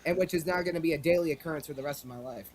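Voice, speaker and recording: Rambling young man, higher voice. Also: very fast. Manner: rambling, frequent restarts. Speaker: podcast co-host. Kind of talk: casual chat.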